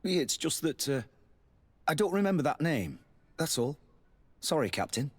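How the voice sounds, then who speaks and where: A man playing a deferential, evasive military officer in a video game, with a husky voice. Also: on the high side, big pitch swings, moderate pace. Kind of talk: video game dialogue acting.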